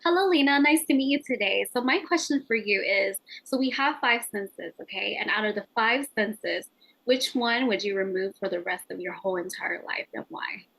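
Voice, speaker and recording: Brief Woman mock judge, gravelly edge, bubbly and drawn-out questions over a video call. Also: mid-range voice, a bit bright, poor audio. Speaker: practice interview judge.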